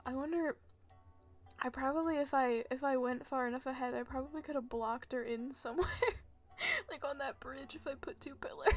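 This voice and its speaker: A woman's voice: high voice, fairly even intonation, moderate pace, soft background music. A young American woman streaming a video game, chatting casually in a giggly, rambling, self-deprecating way. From United States. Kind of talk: casual gameplay commentary.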